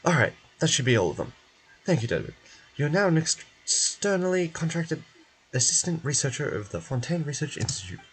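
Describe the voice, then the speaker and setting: A man's voice: fairly high pitch, big pitch swings, a little fast, slightly rough, rough recording. A man voicing a formal, wordy and polite research institute official in a video game. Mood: polite, businesslike.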